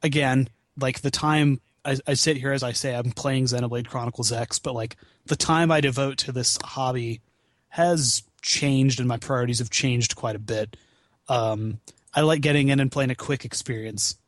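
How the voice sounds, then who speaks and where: A man's voice: medium pitch, steady tone, normal speed, no room sound, clean studio sound. A man chatting casually as a podcast guest about video games, swearing freely with a wry, self-deprecating manner. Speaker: podcast guest, video game marathon founder.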